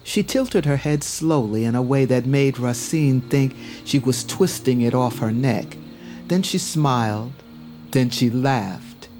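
Slow-paced male storyteller over faint music. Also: medium pitch, expressive, mellow. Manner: measured, literary delivery. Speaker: narrator. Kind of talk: scripted dramatic storytelling.

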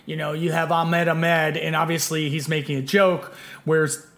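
A man's voice: fairly high pitch, level tone, normal speed, a bit bright. A man hosting a casual podcast chat, meandering with many fillers. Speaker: podcast host.

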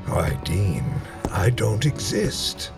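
A cruel male intruder from a horror radio play, speaking very low and unhurried. Every word lands slowly and coldly. Music plays behind him. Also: sing-song, vocal fry, distant mic, full character voice. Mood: coldly menacing. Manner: slow, cold, measured menace. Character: menacing male killer intruder, calm and cruel. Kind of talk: radio drama acting.

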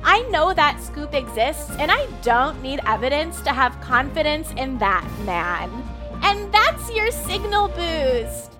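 A woman's voice: sits high, very animated, slow, over music, exaggerated voice. A woman playing a self-righteous liberal news anchor in a cartoon satire, delivering emphatic, sarcastic commentary. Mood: upbeat. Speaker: news host.

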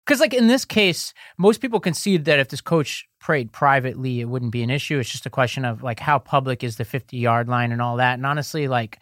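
Podcast co-host, male, mid-pitched, walks listeners through court rulings in a loose, chatty way; dry close-mic sound. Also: lively intonation, brisk, hardly any pauses, fairly bright tone, no echo. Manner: explanatory, filler-heavy, restarts. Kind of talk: casual legal news discussion.

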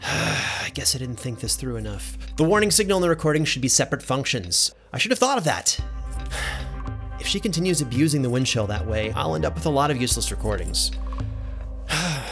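A man's voice: mid-range voice, very animated, brisk, vocal fry, a bit bright. A man acting a pensive enchanter archivist who thinks aloud in a fantasy audio drama. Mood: frustrated. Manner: musing to himself, thinking aloud. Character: pensive male enchanter archivist, muttering over his experiments. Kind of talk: audio drama monologue, scripted credits. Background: music.

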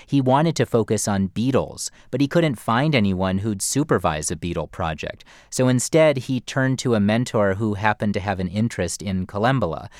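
A male radio reporter narrating a scripted feature story in a measured, storytelling delivery. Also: lowish voice, dry recording, studio quality.

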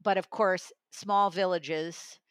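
A woman reviews audiobooks in relaxed conversation. Her voice sits somewhat low and she takes her time, speaking slowly. The sound is close and dry. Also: clear, resonant tone, no room sound. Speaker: audiobook reviewer and regular guest. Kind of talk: conversational book discussion.

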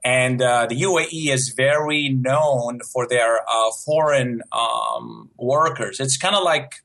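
Modest stammering guest, Arabic native. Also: male voice, medium pitch, slow pace, creaky, a bit bright. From Syria. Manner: modest, laughs often, stammering restarts. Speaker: guest animator. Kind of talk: casual interview.

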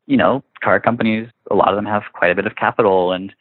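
A man's voice: on the low side, steady tone, fast talker. A man in casual interview conversation, talking informally and often acting out imagined quotes to make his point. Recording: narrow phone-like line with room echo.

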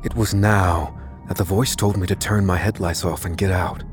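A man playing a tense but steady lead character who narrates an audio drama in a measured, dramatic way. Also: sits low, music in the background, natural voice. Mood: uneasy, resolute. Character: unassuming armed man hunting a killer, tense but steady.

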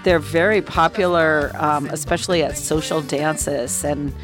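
A woman's voice: deep voice, steady tone, unhurried, music in the background. A woman explaining things conversationally as an interview guest. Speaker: arts nonprofit director, interview guest.

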